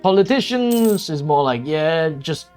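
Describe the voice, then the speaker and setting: A man whose first language is Cantonese, talking loosely while he streams a game. His voice sits a little high and swings up and down a lot, and he stops and restarts often, with swearing thrown in. Faint music plays underneath. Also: expressive, darkish tone. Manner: rambling, halting, many restarts and swearing. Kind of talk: casual chat while streaming a strategy game.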